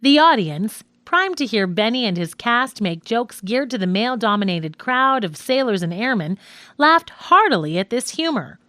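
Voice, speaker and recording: Expressive woman narrating an audiobook. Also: medium pitch, no echo, studio quality. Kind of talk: scripted nonfiction audiobook narration.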